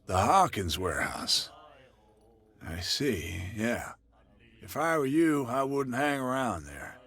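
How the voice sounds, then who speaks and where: An actor playing a wary male barkeeper in a video game, cagey and matter-of-fact, with a rough, husky voice. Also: medium pitch, very animated, takes it slowly, fairly bright tone, heavily acted. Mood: guarded. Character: wary, guarded male barkeeper passing on local rumors. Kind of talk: video game cutscene dialogue.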